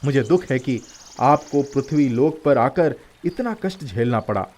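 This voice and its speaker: A man's voice: medium pitch, lively intonation, measured pace, darkish tone, lightly acted. A man voicing a brave, courteous young prince in a fairy tale, speaking formally and earnestly. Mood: indignant, resolute. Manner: formal, gallant, earnest delivery.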